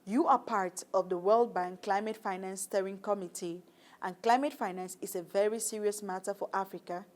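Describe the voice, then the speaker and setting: A woman hosts a formal interview, putting her questions in a composed, measured way. Her voice sits at a middling pitch. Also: steady tone, darkish tone.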